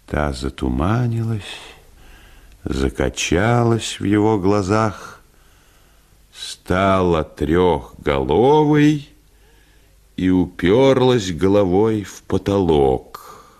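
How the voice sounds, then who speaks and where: A male actor narrates a comic literary story for radio. His reading is unhurried and richly expressive, with pitch that moves widely from phrase to phrase.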